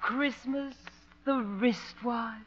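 High, cooing radio sweetheart. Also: female voice, a bit bright. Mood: romantic, cooing. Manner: cooing, drawn-out, teasing. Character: coy, sugary, flirtatious sweetheart lady. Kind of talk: 1950s radio comedy acting. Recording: muffled vintage 1950s radio broadcast.

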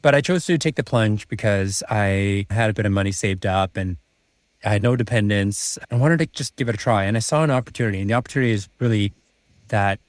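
A man's voice: lowish voice, no room sound. A man in his thirties, a podcast guest, recounting his path to business school in an earnest way with frequent restarts. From born in China, raised in Prague, moved to Vancouver. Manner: earnest storytelling with many restarts. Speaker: guest, MBA student and finance executive. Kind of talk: podcast interview.

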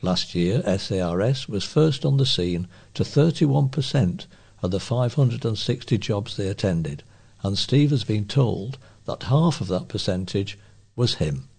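A man's voice: on the low side, animated, unhurried, vocal fry, darkish tone, slightly rough. A man reading scripted local news items as a volunteer newsreader.

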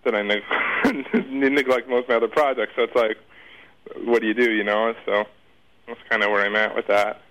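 A man's voice: on the low side, fairly even intonation, normal speed, a bit bright, poor audio. A man speaking as a guest in a casual interview, talking in a rambling, hesitant, informal way with some slang.

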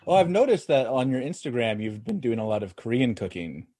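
A man hosts a laid-back podcast chat in an easygoing tone, with the odd casual swear. His voice sits at a middle pitch and moves about freely as he talks. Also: big pitch swings, fairly quick, warm tone, slight background noise.

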